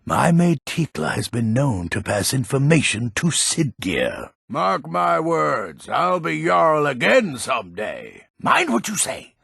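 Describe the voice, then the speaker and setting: A crotchety, distrustful old man from a fantasy video game. His voice is full of gravel and fry, with a worn, husky rasp. He grumbles warily and emphatically, and his pitch swings around in a very animated way. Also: slow pace, few pauses, no room sound, studio quality, full character voice. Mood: defiant, grumbling. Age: older. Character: grumpy, suspicious old male villager in a fantasy game.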